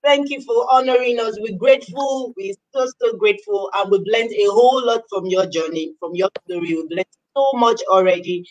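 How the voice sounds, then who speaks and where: A woman's voice: medium pitch, normal speed, hardly any pauses, muffled recording. A young adult woman from Nigeria, hosting a live interview show over a video call, effusive and full of thanks. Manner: effusive, grateful, animated. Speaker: host. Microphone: laptop webcam on a video call.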